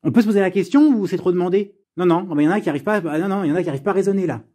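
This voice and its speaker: A high-pitched male voice with a soft, mellow tone. He rants off the cuff in a blunt, sarcastic way, sounding fed up and swearing freely. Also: rapid-fire. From France. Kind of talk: unscripted personal rant.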